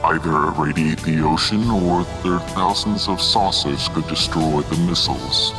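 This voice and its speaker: A man playing a cool, calculating mastermind in an audio drama, with a measured, formal delivery, music playing beneath. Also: very deep voice. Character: cool, calculating male mastermind of a secret organization.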